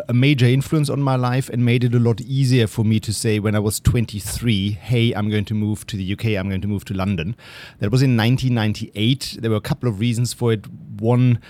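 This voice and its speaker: A middle-aged man from Germany with a German accent, answering interview questions in a relaxed storytelling way, often trailing off quietly. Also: medium pitch. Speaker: guest investor.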